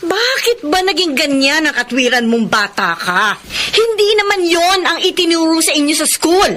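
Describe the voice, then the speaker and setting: A proud, middle-aged businesswoman mother in a radio drama, exasperated and indignant. Her high voice moves in lively swings, with faint noise behind it. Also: normal speed, heavily acted. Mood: exasperated scolding. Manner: scolding, indignant.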